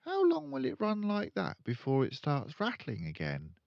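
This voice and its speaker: Man doing little girl voice, high n sweet, clever, asking sly innocent questions, kids storybook. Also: wildly swooping pitch, moderate pace, gravelly, no echo, lo-fi sound, heavily acted. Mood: questioning, reproachful. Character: clever little girl, polite, slyly probing.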